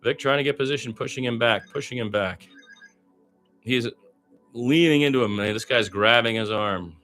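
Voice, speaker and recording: A man's voice: mid-range voice, moderate pace, a bit bright, faint music behind. A man doing live radio basketball play-by-play in a conversational, opinionated, exclamatory way.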